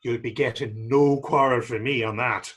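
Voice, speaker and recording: A man's voice: medium pitch, steady tone, moderate pace, a bit bright, slightly rough. A man playing a naive, earnest young fellow in readers theater, speaking rambling, guileless old-fashioned dialect. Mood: eager, smitten. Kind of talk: readers theater stage acting.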